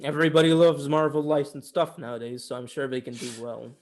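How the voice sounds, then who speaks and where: A man's voice: fairly high pitch, quick pace. A man co-hosting a podcast in casual film chat, informal and loose-tongued with the odd swear word. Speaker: podcast co-host.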